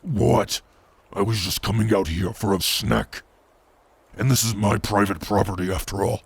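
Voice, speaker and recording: A man acts out a werewolf father in an audio roleplay. The voice is low, very raspy and gravelly, slow and a little sheepish. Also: no echo. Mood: sheepish, defensive. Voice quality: gravelly, husky. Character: sheepish werewolf father caught eating his kill. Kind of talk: ASMR roleplay audio drama.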